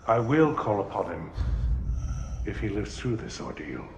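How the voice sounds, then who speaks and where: An actor playing a grave, resigned guardian in a dramatic video game, speaking in solemn, measured, formal phrases. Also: male voice, lowish voice, very animated, reverberant, slight background noise.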